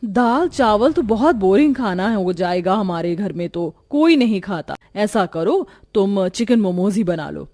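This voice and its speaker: A woman's voice: medium pitch, animated, unhurried, hardly any pauses, darkish tone. A woman voicing a fussy, bossy elder Indian sister-in-law in a cartoon. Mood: dismissive.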